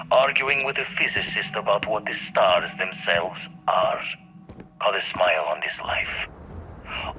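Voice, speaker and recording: A dull, lo-fi recording with noise behind it carries an old space pirate turned astronomer. His voice sits low. He tells his story in a reflective, slangy way, as a scripted video game monologue. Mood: wistful. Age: older. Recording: dull lo-fi audio with clear noise.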